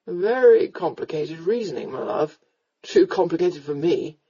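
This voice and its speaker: A woman narrator plays a tipsy Regency gentleman from a historical romance. He rambles in a low, mellow drawl, slurring his words with lazy, roguish amusement. The recording is slightly dull. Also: expressive, measured pace, breathy edge. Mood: rueful, teasing.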